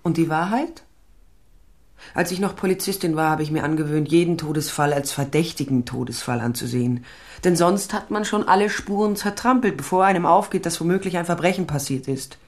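A woman's voice: low-pitched, brisk. A woman playing a tall, sardonic private detective in a crime radio drama, speaking and narrating in a dry, self-possessed way. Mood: challenging. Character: tall sardonic female private detective, former police officer. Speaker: lead actor and first-person narrator.

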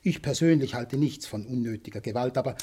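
An actor playing a ruthless, polite businessman villain in a German radio drama, speaking with measured, pompous courtesy that veils a threat. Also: medium pitch, mellow, breathy edge, lightly acted. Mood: politely menacing. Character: suave ruthless businessman villain, polite, menacing, scientifically zealous. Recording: studio radio drama with music behind.

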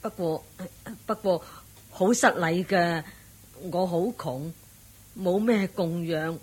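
A woman's voice: lowish voice, slow pace, darkish tone, husky. An actress playing a poor, eagerly hospitable village woman, deferential and exclamatory, in Hong Kong Cantonese radio drama. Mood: humble, grateful.

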